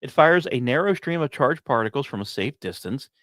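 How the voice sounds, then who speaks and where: A man delivers scripted science commentary as a podcast host, with a lively, swooping intonation. He leans on key phrases dramatically and slips in informal asides. The sound is dry and close, with very little room. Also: medium pitch, a little fast, no echo.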